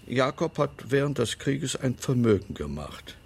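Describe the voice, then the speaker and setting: An actor playing a frail old lawyer in a radio play, guarded and sardonic, speaking in a measured, formal and pointed way. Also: male voice, mid-range voice, big pitch swings, creaky, breathy edge, heavily acted. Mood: stern, pointed. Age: older.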